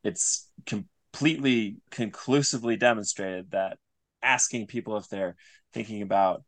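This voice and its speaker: Low-voiced man, thoughtful podcast guest. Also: a bit bright, no echo. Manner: thoughtful, searching, pieces sentences together haltingly. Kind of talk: reflective interview talk.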